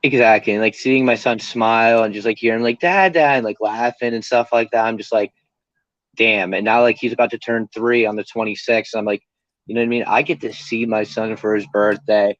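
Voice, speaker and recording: A man's voice: fairly low pitch, fairly even intonation, a little fast, fairly bright tone, no echo, muffled recording. A young man in recovery, talking candidly and casually as an interview guest, rambling with lots of fillers and swearing freely. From Pennsylvania.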